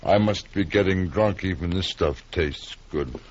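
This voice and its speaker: A man's voice: deep voice, measured pace, noisy background. A man playing a sentimental drunk in a radio western, rambling with grand admiration. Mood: tipsy, admiring. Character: tipsy, admiring male saloon drinker, sentimental. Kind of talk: old-time radio western acting. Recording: dull lo-fi radio drama recording.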